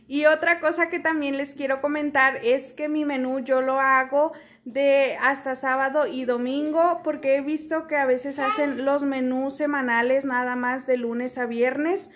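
A young woman with a Mexican accent, chatting casually to camera at home about her meal planning, with lots of fillers and restarts. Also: high-pitched. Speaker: cooking vlogger. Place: small room at home, indoors.

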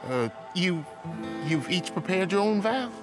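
Slow, hesitant wedding minister. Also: male voice, high-pitched, lively intonation, music in the background. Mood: awkward, hesitant. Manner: hesitant, stammering. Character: awkward, hesitant wedding minister, adult man. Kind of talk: video game cutscene acting.

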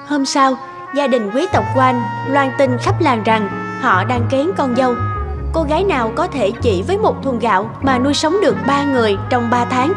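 A woman's voice: sits high, moderate pace, over loud music, lightly acted. A woman narrator reading a scripted fairy tale.

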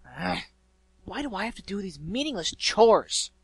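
A man's voice: mid-range voice, lively intonation, a little slow, slightly rough, dry recording. A man voicing a surly, scornful young female griffin in a storybook, blunt and exasperated.

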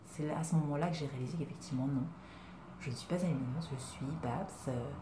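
A young woman from Congo talking to her webcam in a small room, earnest and reflective, pausing often and asking rhetorical questions. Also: low-pitched, mellow, reverberant, slight background noise. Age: young adult. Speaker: vlogger. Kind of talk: personal talk to camera. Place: indoors, small room, plain wall. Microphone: webcam at desk distance.

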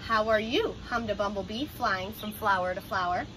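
A young adult woman reading a children's picture book aloud in an expressive, child-directed way, like a teacher. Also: medium pitch, moderate pace. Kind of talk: children's storybook read-aloud. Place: outdoors on a porch by glass doors. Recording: ambient outdoor noise behind voice.

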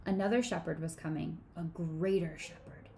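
Woman reading a children's story gently. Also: on the low side, fairly even intonation, normal speed, creaky, slight background noise. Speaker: children's sermon co-leader. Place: small home room.

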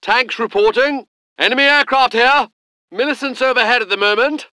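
Alert, very high-voiced tank soldier. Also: male voice, moderate pace, pushed and bright, smooth, no room sound. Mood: alert, brisk. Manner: clipped military reporting. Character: alert British tank crewman reporting in. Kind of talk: short scripted game unit responses.

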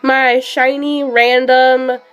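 A young woman streaming a handheld game, sick and losing her voice, chatting excitably with many fillers. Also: high voice, drawn-out words, clean tone, soft background music. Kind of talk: live shiny hunting commentary.

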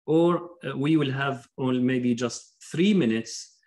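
Courteous man hosting, halting speech. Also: mid-range voice, darkish tone. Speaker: Quran recitation teacher hosting a graduation. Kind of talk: informal ceremony speech. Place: home study, on a video call. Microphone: computer microphone on video call.

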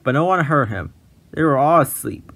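A man narrating a children's storybook in an expressive, measured read-aloud style. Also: on the high side, vocal fry.

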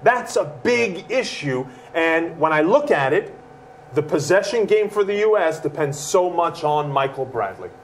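A man's voice: on the high side, very animated, unhurried, slight background noise. A man in his thirties, a soccer analyst, speaking directly at a studio desk with a lapel mic.

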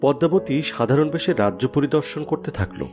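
A man's voice: a little high, animated, quick pace, music in the background. A man narrating a scripted fairy tale for a cartoon.

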